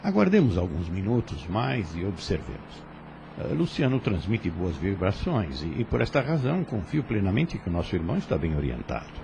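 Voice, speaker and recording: A man playing a calm, kindly spirit guide in an audio drama, reassuring and measured, with gentle authority. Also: low-pitched, creaky, muffled recording, noisy background, heavily acted. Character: calm, kindly male spirit instructor guiding his pupil.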